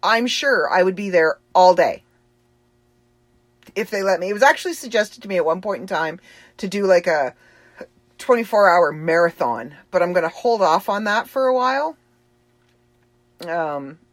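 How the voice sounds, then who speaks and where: Woman, Boston accent, casual chatty self-updating host, a touch low-pitched, dry and close-miked. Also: lively intonation, moderate pace, long pauses, no room sound. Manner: chatty, rambling, laughs easily. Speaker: author and livestream host.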